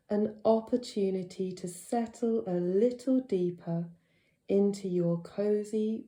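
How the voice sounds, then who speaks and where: A woman with a very soft, dark, mellow voice guides a deep relaxation session. She speaks slowly and gently, leaving unhurried pauses between short phrases. The sound is roomy, with a noticeable echo. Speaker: yoga nidra teacher.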